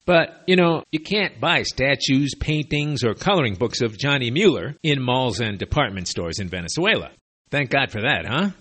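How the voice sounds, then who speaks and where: Man, slight lisp, sardonic, digressive, animated pitch, podcast host, borrowed mic. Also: moderate pace. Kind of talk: opinionated spoken commentary. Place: away from his usual studio. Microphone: makeshift borrowed equipment.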